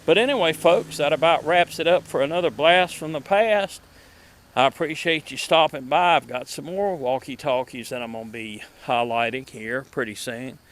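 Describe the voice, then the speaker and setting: A middle-aged man hosting a casual vlog about vintage gadgets, speaking outdoors in a halting, folksy way into a close microphone. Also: on the high side, a bit bright. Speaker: video host showing vintage gadgets. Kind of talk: casual product showcase vlog. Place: outdoors at a table in a wooded yard.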